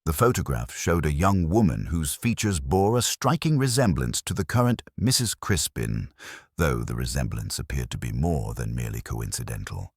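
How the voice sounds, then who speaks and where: Very deep-voiced man narrating a mystery. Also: big pitch swings, breathy edge, dry recording, clean studio sound. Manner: measured, formal storytelling. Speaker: narrator of a detective story. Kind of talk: scripted narration, audiobook mystery.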